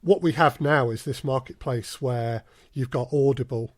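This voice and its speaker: Male interview guest, medium pitch, measured explainer with hesitant repeats, casual delivery, dry acoustic. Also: dry recording. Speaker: guest, audio drama producer.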